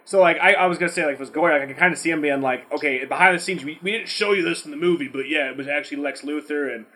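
A man's voice: a little high, racing. A man co-hosting a podcast, talking in a blunt, profane, rambling way as he casually argues and mimics people. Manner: rambling, animated ranting with mimicked quotes. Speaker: podcast co-host. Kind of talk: casual movie debate.

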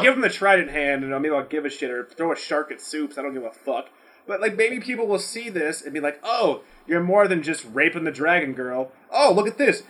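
A man co-hosting a podcast, talking in a blunt, profane, rambling way as he casually argues and mimics people. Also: on the high side. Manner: rambling, animated ranting with mimicked quotes. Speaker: podcast co-host. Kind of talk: casual movie debate.

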